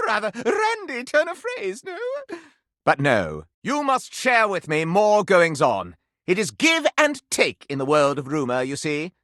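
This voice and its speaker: A man's voice: high-pitched, wildly swooping pitch, slow, bright, forceful tone, dry recording, clean studio sound, full character voice. A man playing a pompous, scandal-loving aristocratic silver candelabra in a video game, with florid, theatrical delivery. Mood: imperious, confiding. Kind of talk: scripted video game character acting.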